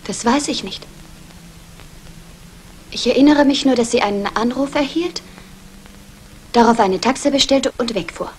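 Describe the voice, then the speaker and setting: A woman's voice: on the high side, measured pace, long pauses, natural voice. An actress playing a reserved, polite young student in a 1960s German radio crime play, guarded in her answers. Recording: noisy old radio play recording.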